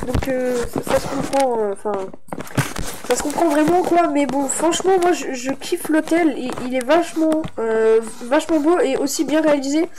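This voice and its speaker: A woman's voice: sits high, normal speed, low-quality recording. A young woman with a stuffy head cold, rambling through a casual showcase of a game map for her channel, with many fillers and restarts. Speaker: gaming YouTuber.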